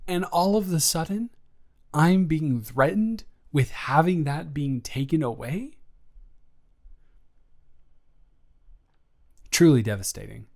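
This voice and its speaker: A man playing a flustered, evasive young roommate in an improvised audio roleplay, rambling and halting with theatrical exasperation. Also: on the high side, expressive, gravelly, a little mellow. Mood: dramatic pleading. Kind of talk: improvised intimate roleplay monologue.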